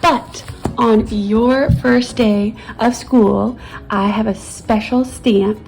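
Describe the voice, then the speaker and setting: A woman teacher reading a storybook aloud to young children in a gentle, soothing manner. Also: a little high, fairly even intonation, slow pace, vocal fry, music in the background, poor audio.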